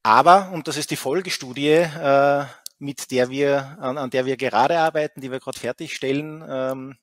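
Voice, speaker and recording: A man's voice: mid-range voice, fairly even intonation, moderate pace, dry recording. A middle-aged man giving a keynote lecture over a video call, talking with many fillers and restarts. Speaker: keynote speaker. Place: office, indoors. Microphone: headset, video call.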